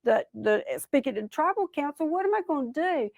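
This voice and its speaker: A vain male possum from an animal folktale, played by a woman storyteller. The voice is pitched up and full of self-admiration, bragging in an excitable way. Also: normal speed, creaky, dry recording, studio quality. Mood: fretting.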